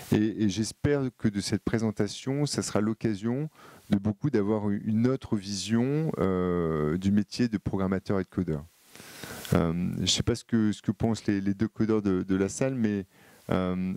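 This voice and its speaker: French man, low mellow voice, hesitant conference speaker, lots of fillers, dry sound. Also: no room sound. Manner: hesitant, many fillers and repeated words. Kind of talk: live talk to an audience.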